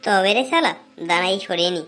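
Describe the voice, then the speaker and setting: A woman's voice: mid-range voice, lively intonation, brisk, fairly bright tone, faint music behind, close to an everyday voice. A woman voicing a grumbling, sly petty thief in a comic cartoon.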